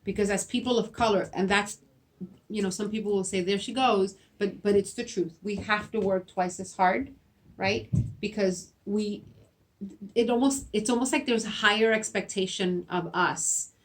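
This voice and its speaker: Middle-aged woman, Spanish native, school principal; earnest, restarts often, mid-pitched voice. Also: fairly even intonation, moderate pace. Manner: earnest, repeats herself, frequent "right?" tags. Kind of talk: interview answers.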